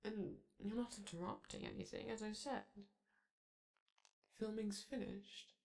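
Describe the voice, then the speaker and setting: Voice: mid-range voice, wildly swooping pitch, a little slow, vocal fry, darkish tone, heavily acted. A performer voicing a flamboyant male robot TV star, vain and charming, who talks theatrically and flirts with the listener in a romantic audio roleplay. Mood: fond, theatrical.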